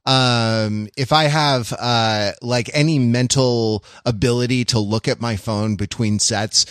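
A man co-hosting a podcast, chatting casually in a digressive, animated way with wry asides. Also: medium pitch, slow, clear, resonant tone, no echo, clean studio sound. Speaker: podcast co-host.

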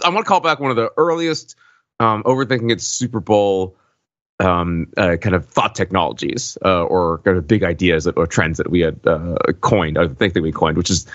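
A man co-hosting a podcast in casual chat, halting and tongue-in-cheek as he makes his points. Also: low-pitched, fairly bright tone, dry recording, highs cut off. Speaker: podcast co-host.

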